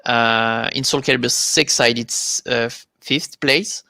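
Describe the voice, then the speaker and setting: A man from France with a French accent, a competitive player giving a halting, filler-filled account as a guest on a live video-call interview. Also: mid-range voice, fairly even intonation, vocal fry, pushed and bright, no echo. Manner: halting, many fillers and repeated words.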